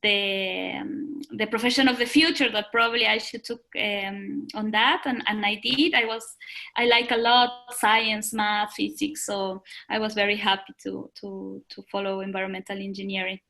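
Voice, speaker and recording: A middle-aged woman from Ecuador with a Spanish accent, answering interview questions with many pauses, fillers and repeated words. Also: mid-range voice, steady tone, creaky, pushed and bright. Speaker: guest.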